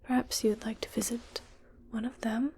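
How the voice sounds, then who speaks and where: A woman playing a calm, reassuring spaceship doctor in a soft, breathy ASMR whisper, speaking gently and soothingly. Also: fairly high pitch, normal speed. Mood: calm, clinical. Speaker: ASMR roleplayer. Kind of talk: soft ASMR medical roleplay.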